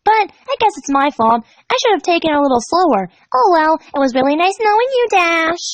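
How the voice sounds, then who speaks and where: A woman's voice: very high voice, very animated, a little fast, no echo, voice pushed up. A woman voicing a gleefully cruel, bubbly young party pony in a storybook reading, perky and jokey while she menaces. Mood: mock regretful. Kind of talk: storybook fan fiction character voice.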